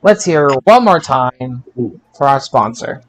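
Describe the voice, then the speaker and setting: A man's voice: mid-range voice, expressive, slow pace, low-quality recording. A man hosting a podcast in a casual, chatty way, often stumbling and repeating his words. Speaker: podcast host. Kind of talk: casual podcast chat and sign-off.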